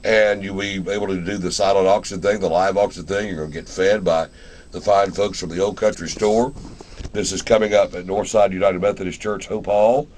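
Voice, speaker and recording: A man's voice: low voice, steady tone, fairly quick, darkish tone, a little hiss or hum. A man hosting a casual live radio interview in an informal, rambling, conversational way. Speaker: radio show host.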